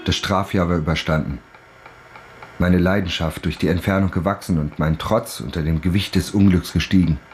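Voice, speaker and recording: An actor playing a proud, embittered convict who recounts his downfall in measured, formal phrases in a literary radio play. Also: low voice, steady tone. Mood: bitter, defiant. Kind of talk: dramatic first-person monologue in a literary radio play. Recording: studio radio play with music underneath.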